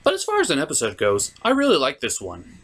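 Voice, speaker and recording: A man's voice: on the high side, wildly swooping pitch, fast, fairly bright tone. A man hosting a comedic cartoon review, delivering scripted commentary and asides with theatrical, mock-dramatic emphasis. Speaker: host of a cartoon review show.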